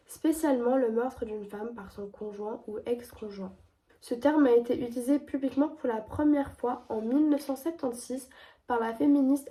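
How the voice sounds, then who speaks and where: A young woman with a stuffy head cold talks through definitions and figures in a clear, earnest way. Her voice is mellow and fairly high, and it sits a little back from the microphone. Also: recorded from a distance. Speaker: educational video host. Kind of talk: explanatory presentation.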